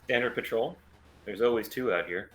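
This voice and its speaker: A man's voice: fairly low pitch, normal speed, a bit bright, close to an everyday voice. A man playing a confident, evasive mercenary pilot with a cool, clipped delivery in a sci-fi table read. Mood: cool, assured. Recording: roomy echo with noticeable background noise.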